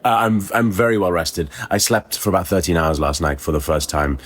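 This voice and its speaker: A man telling a personal story as a podcast guest, conversational, with a hesitant, halting delivery. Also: low voice, a little hiss or hum. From born in Kuwait, moved around the world. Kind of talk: conversational anecdote.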